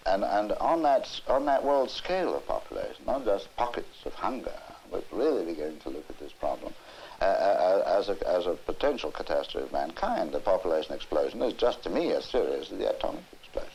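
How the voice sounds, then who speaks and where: A man speaking as a lecturer in an earnest interview, emphatic but halting, often repeating words as he goes. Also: low voice, big pitch swings, a little mellow. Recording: hissy, noisy 1960s film soundtrack.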